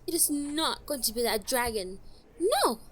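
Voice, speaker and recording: A headstrong young adventurer in a fantasy audio drama, acted by a woman. The voice is high and very animated. The delivery is outspoken and indignant. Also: unhurried, full character voice. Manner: emphatic, indignant.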